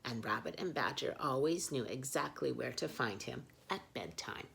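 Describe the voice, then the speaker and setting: A woman telling children's stories in an expressive read-aloud style. Her voice is low with a creaky edge, and she takes her time over the phrases. Faint noise sits behind her. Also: normal speed. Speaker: children's storyteller.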